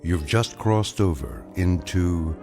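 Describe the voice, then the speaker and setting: An actor playing an ominous, knowing narrator of eerie tales in measured, scripted suspense radio style. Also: male voice, sits low, expressive. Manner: measured, portentous. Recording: studio narration over prominent music.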